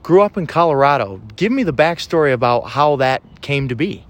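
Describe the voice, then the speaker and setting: A man's voice: medium pitch, animated, fairly quick. A man hosting a baseball pregame show, reading intros and asking questions in an upbeat, scripted announcer style. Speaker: radio host.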